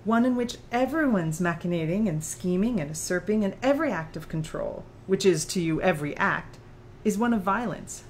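A woman as an analytical, probing debater, mid-pitched. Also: animated, moderate pace, a little hiss or hum, close to an everyday voice. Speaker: audiobook reader. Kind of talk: audiobook character dialogue.

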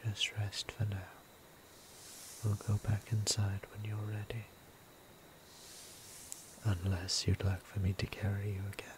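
A man playing a gentle, seductive vampire priest in an ASMR roleplay, whispering breathily with soothing, intimate, formal endearments. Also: lowish voice, monotone, measured pace, pauses often, natural voice. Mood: tender, proud. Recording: noticeable ambient background noise.

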